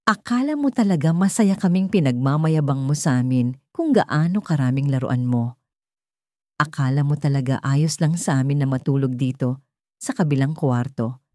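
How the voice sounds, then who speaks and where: A woman's voice: a bass voice, animated, moderate pace, mellow, no room sound, clean studio sound. A woman voicing a spiteful young stepsister in an audio story, haughty, bossy and taunting.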